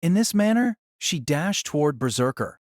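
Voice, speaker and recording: A man narrating a scripted action story as an audiobook reader, with a measured, formal, literary delivery. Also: fairly high pitch, big pitch swings, no room sound, studio quality.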